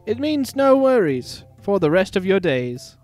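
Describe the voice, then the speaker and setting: Man putting on a brash, high-pitched meerkat sidekick. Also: big pitch swings, unhurried, darkish tone, soft background music. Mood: upbeat. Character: wisecracking, excitable male meerkat sidekick, brash and cheery. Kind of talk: dramatic fan fiction reading.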